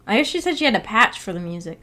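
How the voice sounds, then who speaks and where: A woman's voice: mid-range voice, sing-song, fast talker, pushed and bright. A woman hosting a livestream, chatting casually in a musing, hesitant, giggly way. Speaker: livestream host. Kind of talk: casual livestream chat about a visual novel.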